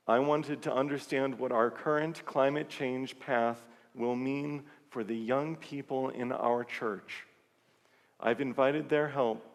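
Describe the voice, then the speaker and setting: A man leading a church service, giving a sermon-style reflection to the congregation in an earnest, measured way. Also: mid-range voice. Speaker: service leader. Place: large church sanctuary. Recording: long reverb of a large church hall.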